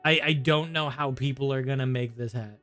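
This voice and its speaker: A man's voice: mid-range voice, fairly even intonation, a little fast, a bit bright. A man giving casual gameplay commentary as a streamer, rambling and often repeating himself. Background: faint game music.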